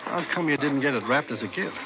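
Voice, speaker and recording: A man's voice: mid-range voice, lively intonation, fast talker, noisy background, lightly acted. An American actor playing a middle-aged veteran policeman, dryly fussy and teasing in offhand short phrases, in a 1950s radio drama. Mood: chatty, fussy. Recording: tinny old radio recording, crowd chatter.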